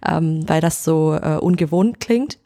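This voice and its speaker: A woman's voice: sits low, a little slow, dark tone, dry recording, studio quality. A woman in her twenties from Vietnam, speaking German, introducing herself hesitantly with many fillers. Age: 20s. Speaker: guest, editor.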